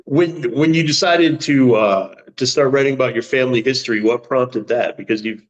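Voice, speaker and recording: A podcast host, a man with a mid-range voice, asks his guest friendly questions in a relaxed way. His recording sounds a bit dull and lo-fi. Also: mid-range voice, moderate pace. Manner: friendly, brief affirmations. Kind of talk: casual interview.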